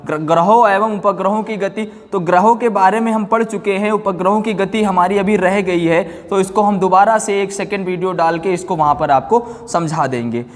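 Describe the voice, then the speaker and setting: Casting: male online tutor, high voice, explanatory delivery sprinkled with English terms, long echoing reverb. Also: moderate pace, hardly any pauses. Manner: explanatory, repeats check-words and phrases. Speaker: physics teacher. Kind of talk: online exam-prep class talk.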